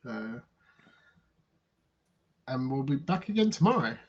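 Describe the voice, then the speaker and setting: A man joining a game stream, making dry jokes with trailing pauses and filler sounds. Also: mid-range voice, big pitch swings, slightly rough. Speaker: player. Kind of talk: casual banter. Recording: dull lo-fi mic with faint hiss.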